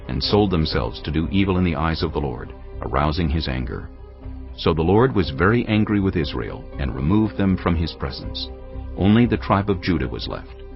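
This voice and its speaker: Very deep-voiced man narrating scripture. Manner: measured, grave storytelling. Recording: dull lo-fi sound over cinematic music. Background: cinematic music.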